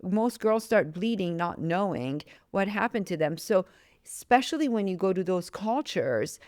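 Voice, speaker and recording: A woman's voice: mid-range voice, animated, moderate pace, darkish tone, dry recording, clean studio sound. Earnest woman host. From Iran. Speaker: podcast host, doctor. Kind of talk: casual interview chat.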